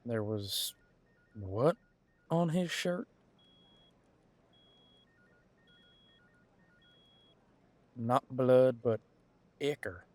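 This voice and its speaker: A man playing a centuries-old, easygoing detective in an audio roleplay, talking casually and folksily as he muses aloud with pauses. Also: mid-range voice, lively intonation, darkish tone, dry recording. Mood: surprised. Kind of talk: detective roleplay audio, one side of a conversation.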